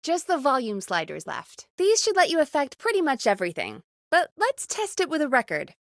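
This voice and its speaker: Bossy lady radio producer from a video game, high voice, caring but fed up, super dry close sound. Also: dry recording, heavily acted. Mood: patient instructing. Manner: brisk, expressive, exasperated and encouraging.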